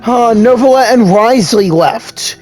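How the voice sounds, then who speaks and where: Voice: medium pitch, unhurried, a little mellow, soft background music, poor audio. A video game player giving casual commentary and speaking along with the story during a playthrough.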